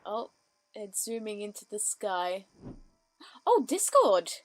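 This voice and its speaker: A woman's voice: medium pitch, very animated, slow pace, faint music behind. A woman giving casual live reaction commentary on a cartoon in brief, musing remarks.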